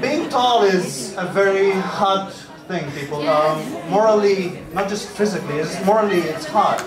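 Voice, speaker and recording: A man's voice: high-pitched, measured pace. A young man from Malaysia with an Egyptian background, telling stand-up stories conversationally and acting out the exchanges he quotes. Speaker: stand-up comedian. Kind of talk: live stand-up comedy storytelling. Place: indoor venue before a live audience. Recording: roomy live hall, far mic, loud crowd.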